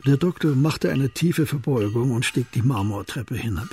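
A man's voice: medium pitch, lively intonation, moderate pace, mellow, husky, soft background music. A man narrating a crime radio play from a script.

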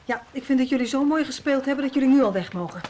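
An actress in a naturalistic radio play, playing a kindly, patient music teacher. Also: female voice, sits high, expressive, racing, a little mellow, slight background noise.

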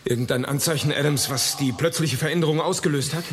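An actor in a German radio drama playing a calm, authoritative asylum doctor with a clipped, commanding manner. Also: male voice, on the high side, quick pace, very noisy, lightly acted. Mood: grave, controlled.

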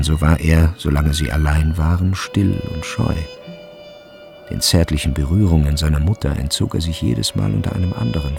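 A man with a very deep, creaky voice narrates a literary radio play. His delivery is reflective and measured, with music clearly playing behind him.

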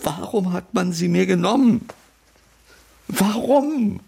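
A shattered old grandfather, his creaky, husky voice rising and falling wildly with grief. His questions come out cracked and pleading in a radio play. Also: sits high, lively intonation, slow, mellow, heavily acted. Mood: grief-stricken. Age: older. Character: grieving old grandfather, broken by his daughter's death. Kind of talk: radio drama acting.

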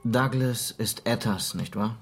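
A man's voice: medium pitch, unhurried, slight background noise, natural voice. An actor playing an earnest, idealistic male lawman in a Western radio drama, speaking in a probing way. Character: earnest, idealistic lawman questioning and pursuing a criminal.

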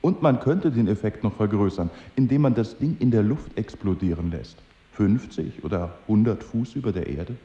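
A man playing a learned, methodical knight in a radio play, explaining things in a deliberate, didactic way. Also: fairly low pitch, animated, dark tone, lightly acted. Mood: grave, precise. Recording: muffled, echoing room.

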